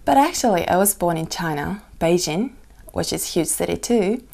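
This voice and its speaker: A woman's voice: fairly low pitch, lively intonation, normal speed, gravelly, a little mellow. A young woman from Japan answering exam questions in simple, careful English with small slips. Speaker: test candidate. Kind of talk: mock speaking exam answers.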